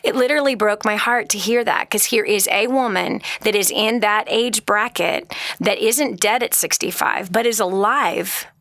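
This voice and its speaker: A woman's voice: mid-range voice, normal speed, a bit bright, dry recording. A woman hosting a radio talk show, speaking earnestly to listeners with rhetorical questions and frequent restarts. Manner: frequent restarts and repeated words. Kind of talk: motivational talk show monologue.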